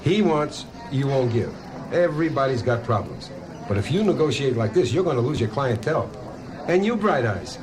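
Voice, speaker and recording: An actor playing a wry, streetwise New York police lieutenant in a 1970s audio drama, blunt and wisecracking. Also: male voice, mid-range voice, big pitch swings, normal speed, background noise, natural voice. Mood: blunt, confronting.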